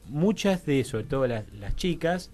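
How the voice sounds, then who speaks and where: Argentine man explaining haltingly, music behind. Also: medium pitch, sing-song, a little mellow. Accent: Argentine Rioplatense. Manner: halting, digressive, seeks agreement with frequent tag questions. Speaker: interview guest, social researcher. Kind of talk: informal radio interview explanation. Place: radio studio.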